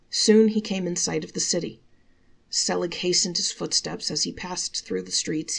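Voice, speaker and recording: A woman reading a folktale aloud as expressive storytelling narration, measured and drawing out key words. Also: fairly low pitch, creaky, slightly rough.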